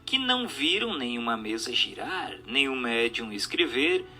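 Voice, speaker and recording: A man's voice: medium pitch, big pitch swings, measured pace, bright, forceful tone. A Brazilian man reading a book aloud as a formal, emphatic, declamatory scripted narration. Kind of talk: scripted dramatized audiobook narration. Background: music under the voice.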